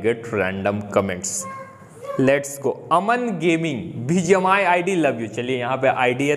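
A man's voice: medium pitch, long reverb tail. A young man casually talking viewers through a game update and a giveaway draw, chatty and friendly, calling them brother. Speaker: gaming YouTuber. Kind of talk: casual screen-recorded giveaway commentary.

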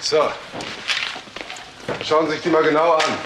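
A man playing a calm, shrewd police detective in a crime radio drama, measured, terse and commanding. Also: fairly high pitch, lightly acted. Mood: brisk, commanding. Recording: clearly noisy track with clicks.

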